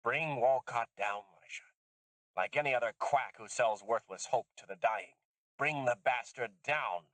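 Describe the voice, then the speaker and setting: A man voicing an older woman geneticist, bitter and intense, speaking emphatically in a raised pitch. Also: lively intonation, normal speed, fairly bright tone, dry recording. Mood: grim. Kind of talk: audiobook character voice.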